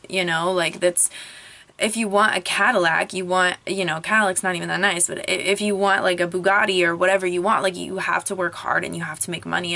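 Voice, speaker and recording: A young woman talks straight into a camera propped up in a small closet, ranting in a loose, chatty way with plenty of "like" and "um". Her voice is a little hoarse and gravelly, sitting slightly low yet sounding bright and edgy. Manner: chatty, frequent "like" and "um", rising frustration. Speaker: vlogger. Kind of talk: casual rant to camera. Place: small closet at home, clothes all around. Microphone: camera propped up on a crib.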